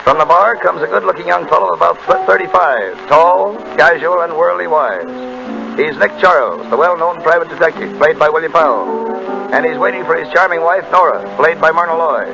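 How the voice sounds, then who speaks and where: A man's voice: fairly high pitch, lively intonation, fairly quick, creaky, over music, low-quality recording. A man narrating a scene as an announcer in scripted 1930s radio style. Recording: hissy 1930s radio broadcast.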